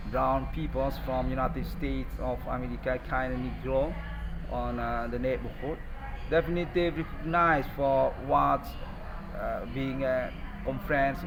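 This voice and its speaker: A man from Indonesia with an Indonesian accent delivers a rambling monologue to online viewers in halting, disjointed, non-fluent English. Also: medium pitch. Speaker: self-styled online presenter. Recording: loud ambient vehicle noise behind.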